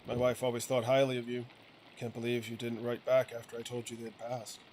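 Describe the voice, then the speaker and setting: A man's voice: medium pitch, completely flat delivery, brisk, natural voice. Man playing a curt, resentful printer, noisy background. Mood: bitter, disappointed. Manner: curt, firm, reproachful. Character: weary, resentful printer and father, righteous about peasants' cause. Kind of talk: acted reading of video game dialogue. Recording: clearly noisy game ambience behind voice.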